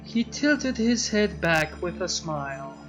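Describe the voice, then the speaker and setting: A man's voice: high voice, sing-song, takes it slowly. A man reading fan fiction aloud as the narrator. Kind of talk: story narration. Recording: muffled mic with music behind. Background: music.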